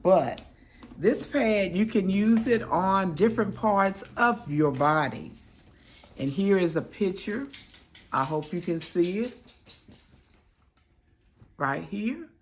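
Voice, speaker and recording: A woman reviewing a product in a casual, affectionate, chatty way, her voice hoarse and crackly as she gets over an illness. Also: medium pitch, lively intonation, slow pace, long pauses. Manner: affectionate, chatty, pious greetings.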